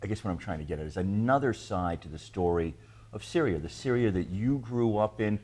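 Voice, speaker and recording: A man's voice: fairly low pitch, expressive, a little fast, darkish tone. A man hosting a TV interview show, asking long thoughtful questions while searching for words. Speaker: TV show host.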